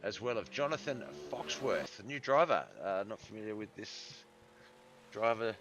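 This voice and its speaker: An Australian man doing relaxed play-by-play commentary on a live-stream sim race, reading out lap times, with a hoarse, congested voice. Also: lowish voice, moderate pace, background noise. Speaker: sim racing league commentator.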